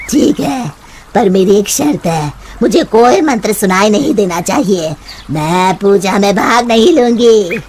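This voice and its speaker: A woman's voice: mid-range voice, animated, a little slow, a little mellow, husky. A woman voicing a haughty, menacing river witch in a cartoon, imperious and taunting. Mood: grudging. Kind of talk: Hindi cartoon voice acting.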